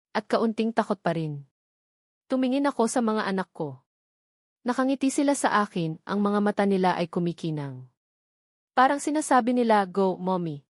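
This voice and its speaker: A woman reading a scripted first-person love story as narrator, with sentimental, measured phrasing. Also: medium pitch, no echo, studio quality.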